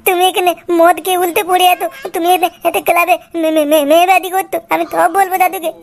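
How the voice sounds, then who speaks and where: A woman's voice: extremely high pitch, little pitch movement, fast talker. A woman voicing a whiny, eager little boy in a comic cartoon. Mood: whiny, threatening. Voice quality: babyish lisp. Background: music.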